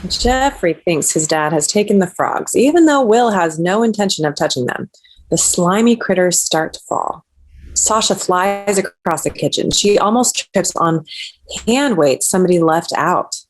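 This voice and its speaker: Lowish-voiced woman narrating a screenplay. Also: animated, moderate pace, low-quality recording. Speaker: stage-direction narrator.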